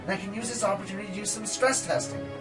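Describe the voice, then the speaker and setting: A man's voice: high-pitched, rapid-fire, distant mic, music in the background, lightly acted. A man playing an enthusiastic, pedantic pony engineer, eager and precise, in a radio play. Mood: keen, technical. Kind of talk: amateur radio play acting.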